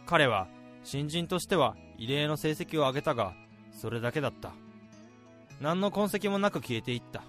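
A man playing a reflective salaryman musing in a measured radio drama monologue, with music behind. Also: a little high, animated, a bit bright. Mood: wistful, reflective. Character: wistful male salaryman narrator musing on a departed colleague.

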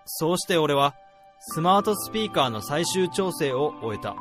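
A man's voice: a little high, lively intonation, normal speed, over music, natural voice. A man playing a blunt, hot-tempered AI developer in a radio drama, with dramatic, emphatic delivery. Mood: reflective. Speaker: lead character and narrator.

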